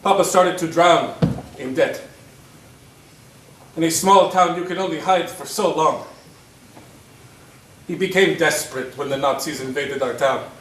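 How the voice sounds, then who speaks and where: An actor playing an angry, bitter prisoner in a stage play, with an intense, dramatic delivery. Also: male voice, on the high side, measured pace, pauses often, fairly bright tone, a little hiss or hum. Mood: bitter remembrance. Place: theatre stage, recorded from a distance. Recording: echoey stage, distant microphone.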